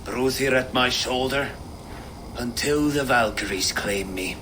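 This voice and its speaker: An actor playing a mournful Viking warrior honoring a fallen friend in a game, slow and solemn. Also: male voice, medium pitch, background noise, lightly acted.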